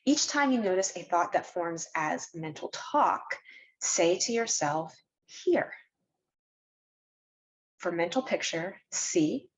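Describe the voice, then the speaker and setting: A woman narrating a scripted guided meditation in a measured, instructional way, leaving deliberate pauses between phrases. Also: fairly low pitch, animated, poor audio.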